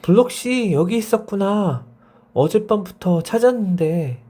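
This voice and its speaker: A man's voice: sits high, animated, a little slow, mellow, voice pushed up. A man voicing a sweet, delighted nine-year-old girl in a children's bedtime story.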